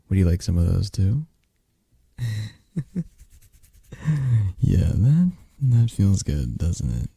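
A man voicing an affectionate, teasing young male dragon in an intimate ASMR roleplay, speaking in a soft, gentle, drowsy murmur. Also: low-pitched, creaky, husky, no echo, clean studio sound, full character voice. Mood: tender, soothing. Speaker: roleplay audio performer.

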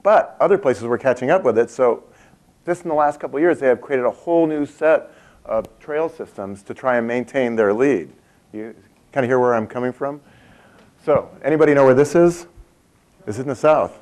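A man's voice: medium pitch, normal speed, darkish tone. A man in his fifties from Montana, giving a chatty keynote to a conference audience in a large meeting room, asking them questions and laughing at his own stories. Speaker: keynote speaker.

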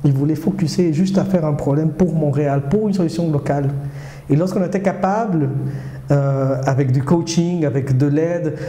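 A man's voice: a little high, moderate pace, dark tone. A man in his forties from South America, giving hesitant but earnest interview answers full of fillers, seated in a small studio with a lapel mic. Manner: hesitant, many fillers and restarts; earnest and persuasive, gestures with hands. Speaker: startup accelerator director. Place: small indoor studio, seated before a screen. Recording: roomy echo.

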